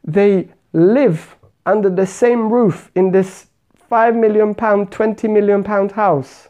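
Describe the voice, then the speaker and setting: A man's voice: high-pitched, fairly even intonation, slow, warm tone. A middle-aged man hosting a podcast, giving an earnest motivational monologue that piles up lists and repeats its points. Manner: earnest, repetitive listing, preachy. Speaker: podcast host and mindset coach.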